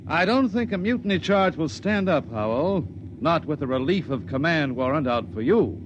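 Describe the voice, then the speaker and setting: An actor playing an authoritative space patrol major in a 1950s radio drama, commanding and matter-of-fact. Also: male voice, on the high side, expressive, normal speed, natural voice. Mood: firm, official. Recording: muffled, noisy vintage radio recording.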